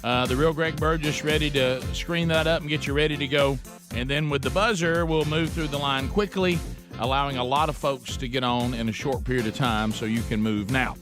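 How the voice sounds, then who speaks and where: A man hosting a radio show, steering the talk and setting up calls in an upbeat pitchman manner. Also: fairly even intonation, brisk, over music. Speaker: radio host. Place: radio studio.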